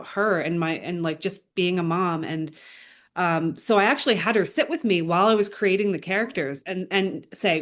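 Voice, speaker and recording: A woman's voice: fairly low pitch, a bit bright. A woman filmmaker answering interview questions in an informal, earnest way. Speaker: animator and filmmaker being interviewed.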